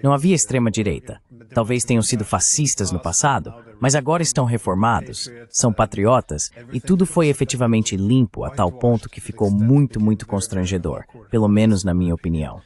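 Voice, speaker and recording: Male voice-over for an interview host, somewhat low pitch, conversational analysis. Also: animated, brisk, darkish tone. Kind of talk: dubbed interview translation, conversational commentary.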